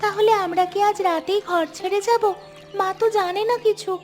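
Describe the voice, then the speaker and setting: A woman voicing a very high-pitched anxious little girl. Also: steady tone, normal speed. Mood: worried. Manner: questioning, worried. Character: anxious young village girl, observant daughter. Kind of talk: Bengali cartoon dialogue. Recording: music behind the voice.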